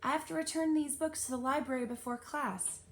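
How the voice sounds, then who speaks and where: A woman's voice: sits high, steady tone, brisk, fairly bright tone, slight background noise, voice pushed up. Woman voicing an earnest, insistent nine-year-old bookworm girl. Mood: hurried, polite. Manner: emphatic, insistent.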